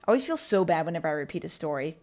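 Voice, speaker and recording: A woman's voice: low voice, expressive, rapid-fire, darkish tone. Chatty rambling woman podcast host. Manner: rambling and chatty, with lots of fillers and self-talk. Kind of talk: casual solo podcast monologue.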